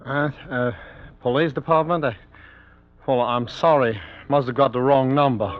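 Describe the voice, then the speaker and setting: A man's voice: mid-range voice, steady tone, dry recording, close to an everyday voice. An actor playing a hesitant, reassuring man on the phone in a 1940s radio thriller. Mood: halting, calm. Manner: halting, reassuring. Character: hesitant man on phone, feigning calm to police. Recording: dull old radio recording with music.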